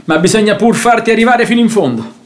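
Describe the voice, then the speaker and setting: An actor playing a bewildered, grudgingly compliant noble husband in a classic radio comedy. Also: male voice, high-pitched, animated, quick pace. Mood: puzzled, grudging. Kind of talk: theatrical radio drama acting of classic comedy.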